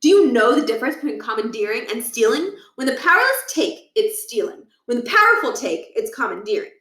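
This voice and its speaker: A woman's voice: a little high, sing-song, a little fast, sharp tone. Woman voicing a pompous grandfatherly principal, echoey room. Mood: stern, condescending. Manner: condescending, lecturing, menacing. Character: pompous, power-hungry male school principal, older grandfather. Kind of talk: children's book read aloud. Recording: echoey room, distant from mic.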